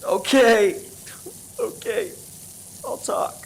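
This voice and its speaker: A man's voice: extremely high pitch, wildly swooping pitch, drawn-out words, vocal fry, a little hiss or hum, heavily acted. Man playing an earnest, cornered undercover agent. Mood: nervous. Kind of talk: comedic radio play acting.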